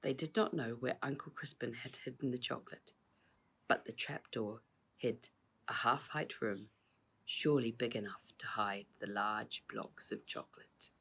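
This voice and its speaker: Creaky-voiced woman narrating a children's story. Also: rumbling low, normal speed, fairly bright tone. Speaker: author reading aloud.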